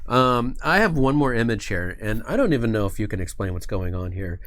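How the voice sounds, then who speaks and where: A man's voice: fairly low pitch, lively intonation, brisk, a bit bright. A man hosting a casual livestream interview in an agreeable, easygoing conversational way. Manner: agreeable, chuckles and chimes in often. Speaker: host.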